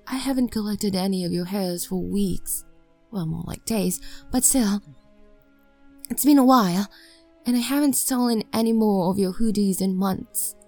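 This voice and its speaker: A woman's voice: medium pitch, normal speed, warm tone, faint music behind, natural voice. A young woman voicing a clingy, obsessively devoted maid in an audio roleplay, pleading and stammering. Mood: begging.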